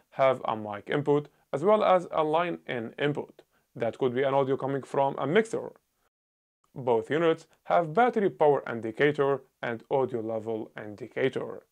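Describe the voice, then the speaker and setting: A man in his thirties giving a scripted gear review on a small indoor set, talking loudly and emphatically into a lapel mic. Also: a little high. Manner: loud, emphatic delivery.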